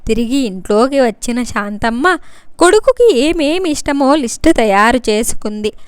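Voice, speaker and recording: High, clear-voiced woman storyteller. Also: animated, normal speed, dry recording, studio quality. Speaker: story narrator. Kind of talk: scripted story narration.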